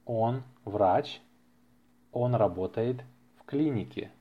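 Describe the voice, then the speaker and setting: Male language-teacher podcast host, mid-pitched, very slow and deliberate, careful repetition, slightly muffled lo-fi sound. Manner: deliberate, carefully enunciated, repeats phrases for learners. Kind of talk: slow language-lesson podcast reading practice dialogues.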